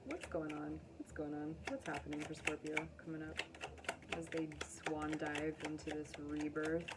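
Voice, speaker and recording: A woman doing a casual, spontaneous intuitive tarot reading, with dramatic, drawn-out phrasing and pauses. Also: low-pitched, very noisy. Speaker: tarot reader.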